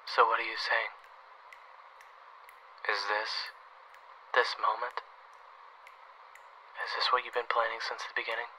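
A man's voice: mid-range voice, little pitch movement, measured pace, long pauses, bright, forceful tone, a little hiss or hum, rough recording. A man playing a wry, wounded older brother and assassin in an audio roleplay, speaking hesitantly and conversationally. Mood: hurt, probing. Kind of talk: audio roleplay drama acting.

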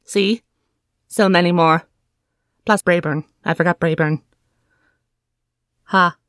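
A woman's voice: lowish voice, fairly even intonation, slow, a bit bright, no room sound, clean studio sound. A woman voicing a smug young pony princess who breezily rattles off a long list.

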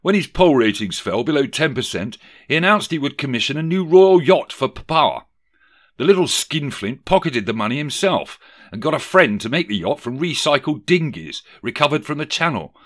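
Man playing an aggrieved, high-pitched young prince. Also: very animated, normal speed, a bit bright. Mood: aggrieved, sorrowful. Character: aggrieved young prince recounting his family's downfall. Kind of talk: satirical audiobook character reading.

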